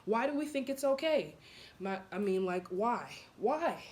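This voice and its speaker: A woman's voice: mid-range voice, unhurried. A young woman speaking casually to camera in a blunt, chatty vlog. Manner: blunt, animated, chatty with laughs and asides. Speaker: vlogger.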